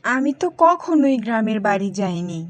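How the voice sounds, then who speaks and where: A woman's voice: fairly high pitch, very animated, normal speed, no echo. A woman voicing a stubborn cartoon schoolboy. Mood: curious. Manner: pleading, insistent. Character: stubborn schoolboy son, eager for the village. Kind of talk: cartoon voice acting.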